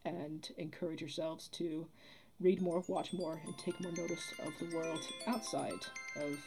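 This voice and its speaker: A woman speaking as a podcast guest in casual chat, halting and rephrasing as she goes. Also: sits low, flat delivery, music in the background. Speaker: podcast guest, theatre producer.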